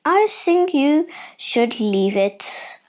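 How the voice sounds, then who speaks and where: A woman's voice: high-pitched, big pitch swings, very slow, darkish tone, heavily acted. A woman voicing a blunt old duck with a dry, dismissive manner.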